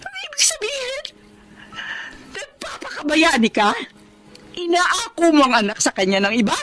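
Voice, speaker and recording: An actress playing a wounded, long-suffering wife in a Filipino radio drama, proud, emotional and accusing. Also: female voice, very high voice, very animated, normal speed, over music. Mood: hurt, reproachful.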